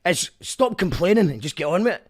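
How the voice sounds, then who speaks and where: A man, a radio host and band musician chatting casually in an animated, rambling way, often correcting himself. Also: sits high, fairly quick, husky. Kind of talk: casual chat.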